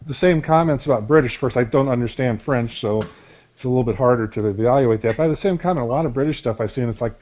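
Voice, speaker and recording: A man's voice: medium pitch, fast, a little mellow. An older man chatting on a video call through a headset from a small room at home. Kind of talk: casual video-call chat.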